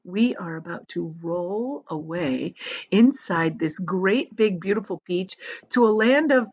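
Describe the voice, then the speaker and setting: A woman voicing a kind, gentle, confident ladybug in a storybook, explaining things in a reassuring, eager way. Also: fairly low pitch, lively intonation, slow pace, husky, exaggerated voice. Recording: dull, lo-fi sound.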